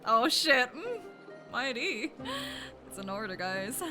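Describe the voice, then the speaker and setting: A woman's voice: on the high side, very animated, slow pace. A woman streamer giving casual, playful live reactions to a game in short exclamations. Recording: loud game music behind voice. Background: game cutscene music.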